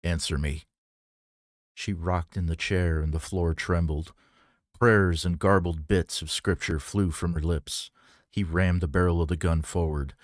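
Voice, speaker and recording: A man reading a novel aloud in a measured, dramatic storytelling manner. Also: low-pitched, fairly even intonation, no echo, studio quality. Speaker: audiobook reader. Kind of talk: scripted fiction narration.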